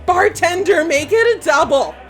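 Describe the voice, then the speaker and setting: A woman's voice: very high-pitched, animated, normal speed, soft background music. A woman playing a flamboyant, melodramatic, exclaiming female being in a comic sci-fi audio drama. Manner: theatrical, exclaiming.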